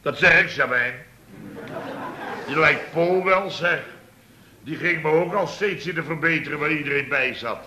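A man's voice: a little high, normal speed, pauses often, sharp tone. A man playing a pompous, blustering middle-aged boss in a Dutch radio comedy serial, stammering and repeating himself. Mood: exasperated, emphatic. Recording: slightly noisy vintage radio recording.

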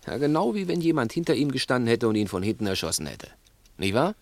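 An actor in a 1960s German radio play playing a cunning, domineering crook who is menacing, insistent and patronising. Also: male voice, medium pitch, very animated, quick pace, gravelly, dry recording. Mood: agitated, mocking. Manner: insistent, theatrical, probing with rhetorical questions.

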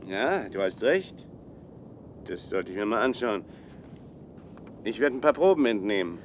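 Awkward young researcher, lower-pitched, earnest, hesitant, sci-fi radio play, noisy rumbling recording. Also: male voice, big pitch swings, a little slow. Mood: earnest, eager. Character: awkward young male biochemistry doctoral student in protective suit. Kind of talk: German science-fiction radio drama acting.

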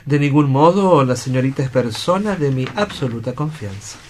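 A man playing a grave, compassionate doctor in a radio drama, formal and deliberate. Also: medium pitch, lively intonation, slight background noise, natural voice. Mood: grave, reserved.

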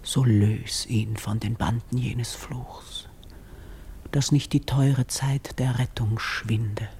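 An actress playing a noble, devout and anxious priestess in a classical radio verse drama, speaking in a solemn, declamatory way. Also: female voice, extremely low pitch, fairly even intonation, slow, warm tone, slight background noise, heavily acted. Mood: pleading.

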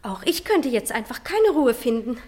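An actress playing a chatty, self-important housemaid in a German radio play, deferential yet pert. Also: female voice, on the high side, big pitch swings, moderate pace, a little mellow. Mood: defensive.

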